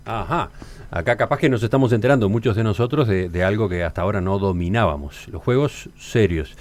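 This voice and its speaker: A man hosting a radio interview, with emphatic, deliberate phrasing. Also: fairly low pitch. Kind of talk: interview with scripted introduction.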